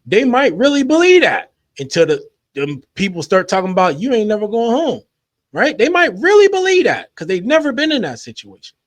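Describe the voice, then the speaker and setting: A man hosting a commentary show, giving his measured, skeptical take and often trailing off quietly. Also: sits high, wildly swooping pitch, no echo. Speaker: commentary host. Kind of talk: opinion commentary.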